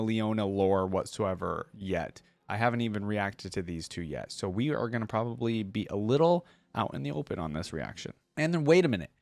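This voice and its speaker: A man's voice: mid-range voice, no echo. Man doing casual reaction commentary, close dry sound. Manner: thinks aloud, informal asides. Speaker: YouTube reaction host. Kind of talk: casual reaction commentary, reading lore aloud.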